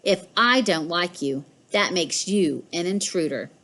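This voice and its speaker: A woman's voice: on the low side, expressive, a little slow, a bit bright. A woman voicing a smug, mischievous pet cat in a storybook, with a boastful, emphatic delivery. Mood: haughty. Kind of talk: storybook read-aloud.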